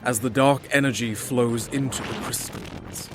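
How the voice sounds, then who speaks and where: A man's voice: medium pitch, steady tone, a little slow, over music. A man giving dramatic, scripted fantasy narration as the storyteller.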